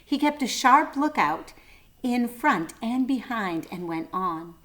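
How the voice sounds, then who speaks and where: A woman's voice: on the high side, animated, slow, a bit bright. Woman reading a children's story aloud. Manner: brief asides to young listeners.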